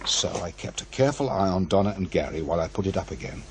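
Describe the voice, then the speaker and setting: An actor playing a pushy, ambitious radio program maker, insistent and persuasive, in a radio drama. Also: male voice, low-pitched, expressive, fast. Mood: eager, persuasive. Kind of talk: naturalistic radio drama dialogue.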